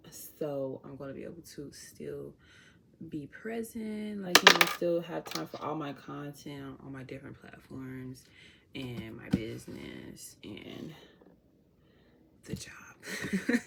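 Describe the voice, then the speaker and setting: Low gravelly young woman with a Chicago accent. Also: slow, very noisy. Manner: chatty, laid-back, talks to viewers as y'all. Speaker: vlogger. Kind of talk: casual chat to camera. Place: home.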